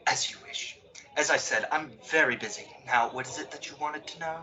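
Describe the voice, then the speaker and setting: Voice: medium pitch, a little fast, bright, forceful tone, close to an everyday voice. An actor playing a snooty, impatient department-store floor walker in a radio drama, curt and formal. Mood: haughty, impatient. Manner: haughty, clipped, formal. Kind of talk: old-time radio drama acting.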